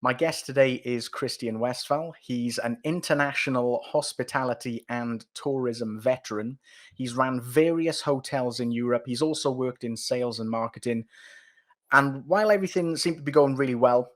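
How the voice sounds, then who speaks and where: A man's voice: medium pitch, moderate pace. A man hosting a podcast interview, talking in a friendly, loose way with small restarts. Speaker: podcast host.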